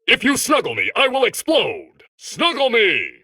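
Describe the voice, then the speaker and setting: A man's voice: extremely high pitch, huge pitch swings, a little slow, bright, forceful tone, dry recording, heavily acted. A man voicing a grandiose, menacing yet absurd cuddly robot in a comic video game, declaiming ominous commands. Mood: grandiose menace.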